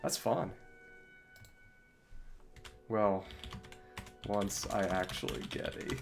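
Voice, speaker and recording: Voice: low-pitched, fairly even intonation, very slow, gravelly, loud music behind the voice. A game streamer chatting casually over gameplay, thinking aloud in a wry way. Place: indoors at a computer.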